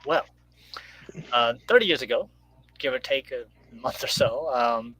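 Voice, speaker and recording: Middle-aged man with a bright, forceful voice. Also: mid-range voice, unhurried. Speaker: guest writer and radio show host. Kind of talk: casual chat. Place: online video call. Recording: clearly noisy line with hum.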